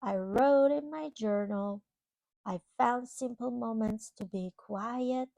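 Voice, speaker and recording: A woman reading a children's picture book aloud, expressively, pausing to explain things to young listeners. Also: a little high, creaky, mellow, no echo, poor audio. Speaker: children's storybook reader. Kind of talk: read-aloud storytelling to young children with simple asides.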